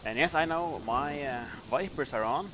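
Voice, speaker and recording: A young man with a Norwegian accent casually commenting on his flight-sim gameplay, relaxed and rambling with lots of fillers. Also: fairly high pitch, normal speed, noisy background. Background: music playing underneath.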